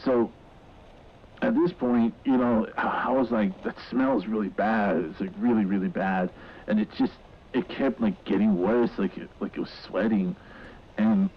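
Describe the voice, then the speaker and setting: An actor playing a nervous, flustered mall escort giving halting, rambling witness testimony in an audio horror drama, on a lo-fi tape recording. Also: male voice, on the low side, slightly rough. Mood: disgusted, uneasy. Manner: halting, stammering, rambling, repeats himself. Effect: lo-fi tape recording filter. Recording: muffled, noisy lo-fi witness tape.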